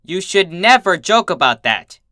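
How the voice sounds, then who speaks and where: A story reader voicing an excitable female pony princess who is a scientist and quick to scold. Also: mid-range voice, echoey room, voice pushed up.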